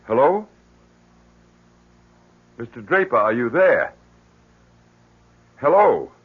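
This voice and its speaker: An actor playing a worried, stubborn middle-aged father in an old radio drama, halting, earnest and insistent with a husky voice. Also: medium pitch, sing-song, heavily acted. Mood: urgent, puzzled. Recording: very muffled vintage radio recording.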